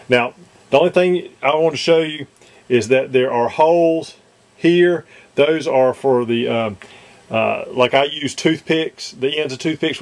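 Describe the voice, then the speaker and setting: A man casually showing how to fit a model cockpit kit in a workshop, talking haltingly with many fillers and restarts. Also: medium pitch. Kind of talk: casual hands-on demonstration. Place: workshop, indoors.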